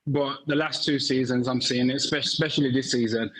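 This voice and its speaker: Halting mid-pitched former defender. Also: male voice, steady tone, a bit bright, husky, low-quality recording. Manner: halting, many restarts and fillers. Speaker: football pundit, former defender. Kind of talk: studio panel analysis.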